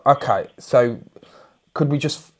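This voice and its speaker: A man making a prank call, talking in a halting, stammering, deadpan mock-earnest manner. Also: medium pitch. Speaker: prank caller. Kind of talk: mock-earnest phone conversation.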